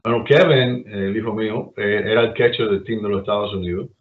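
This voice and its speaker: Middle-aged Cuban man, Cuban accent, low voice over a tinny phone line, rambling storyteller. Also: brisk. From Cuba. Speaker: guest. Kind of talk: casual chat.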